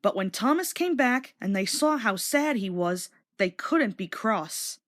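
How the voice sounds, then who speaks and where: A woman narrating a children's storybook aloud in an expressive, scripted read-along style. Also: medium pitch, measured pace.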